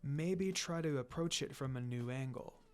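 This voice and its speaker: A reader playing a gentle, curious young girl ninja in a story reading. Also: medium pitch, normal speed, faint music behind, pitched below the performer's own voice, lightly acted.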